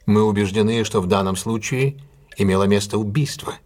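An actor playing a confident, courteous male detective in an audio drama, speaking in a measured, deliberate and formally polite way. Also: lowish voice, darkish tone, lightly acted. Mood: insistent, measured. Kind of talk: audio drama acting, classic detective story.

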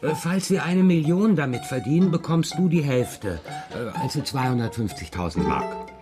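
A man's voice: mid-range voice, expressive, normal speed, over music, natural voice. An actor playing a playful, evasive middle-aged father who roams about and writes, with whimsical, hesitant phrasing in a children's radio play. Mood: cheerful scheming. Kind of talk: German children's radio drama dialogue.

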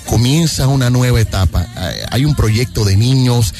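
A man's voice: medium pitch, moderate pace. A man hosting a radio interview, chatty and affirming, asking long winding questions. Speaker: radio host. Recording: radio broadcast with music behind.

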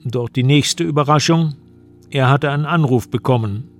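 An actor playing an investigative journalist, narrating a radio drama in a measured, literary manner. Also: male voice, medium pitch, soft background music, lightly acted. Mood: measured narration.

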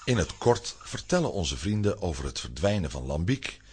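A man's voice: low-pitched, big pitch swings, normal speed, very noisy. A man narrating a children's radio play in a scripted, dramatic storytelling style.

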